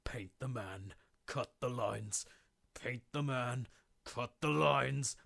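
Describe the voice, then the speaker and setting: A man's voice: mid-range voice, takes it slowly, creaky, a bit bright, dry recording, clean studio sound, heavily acted. A man playing a tormented, guilt-ridden diarist sinking into madness, in an intense, formal horror-game monologue. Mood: urgent, menacing. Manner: theatrical, formal old-fashioned diction, intense. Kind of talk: dramatic monologue, video game horror fandub.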